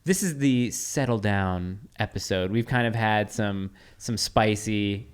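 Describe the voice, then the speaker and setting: A man's voice: lowish voice, smooth. A man hosting a casual podcast chat, talking easygoing and drawing out some phrases. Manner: easygoing, drawn-out phrasing, chuckles. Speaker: podcast host.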